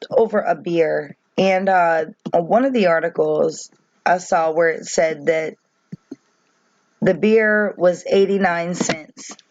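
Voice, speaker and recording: A woman with a voice worn down by allergies talks to her viewers in a halting way. She pauses often with ums, says "okay?" a lot and reads a news article aloud. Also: lowish voice, no room sound. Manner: halting, many ums and restarts, tags with "okay?". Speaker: vlogger. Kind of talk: casual talk to camera, reading a news article aloud. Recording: dull, dry home recording.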